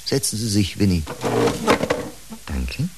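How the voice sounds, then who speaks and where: A stern doctor in a radio play. His voice is fairly low, controlled and admonishing, with a faint hiss on the recording. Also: sing-song, slow pace, full character voice. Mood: stern, concerned. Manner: firm, admonishing. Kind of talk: radio drama acting.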